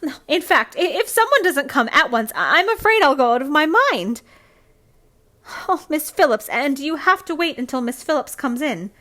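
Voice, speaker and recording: A woman's voice: high voice, big pitch swings, normal speed, sharp tone, full character voice. A woman playing a frightened wife on the telephone in an old-time radio thriller, breathless, stammering and pleading. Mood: agitated. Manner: breathless, stammering, gasping, melodramatic.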